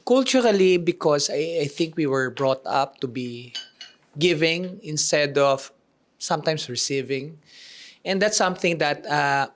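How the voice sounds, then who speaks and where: A man with a somewhat high voice puts a question to a coach in a group session. He speaks haltingly. He restarts often as he searches for the right words. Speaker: workshop participant asking a question.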